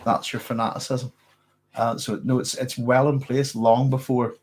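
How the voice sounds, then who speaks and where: A man's voice: mid-range voice, flat delivery, normal speed, darkish tone, a little hiss or hum. A man hosting a late-night live science fiction Q&A, chatting loosely and correcting himself as he answers viewers' questions. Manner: rambling, self-correcting, conversational. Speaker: host of a science fiction channel.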